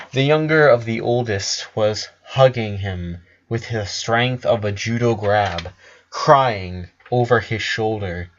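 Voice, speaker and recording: A man reading a scripted story as narrator, in a measured way with pauses between phrases. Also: fairly low pitch. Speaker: fan fiction narrator. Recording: dull lo-fi voice recording.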